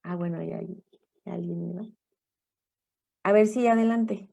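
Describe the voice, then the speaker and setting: A woman teacher leading an online class, speaking in a halting, encouraging way over a video call mic. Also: on the low side, vocal fry, dark tone. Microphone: computer mic on a video call.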